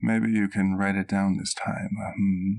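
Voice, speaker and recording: A man playing a courteous, caring vampire doctor in an intimate ASMR roleplay, speaking formally and with measured, old-fashioned phrasing. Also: low voice, flat delivery, vocal fry, darkish tone. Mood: fond, reassuring. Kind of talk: ASMR roleplay, intimate one-sided dialogue to the listener.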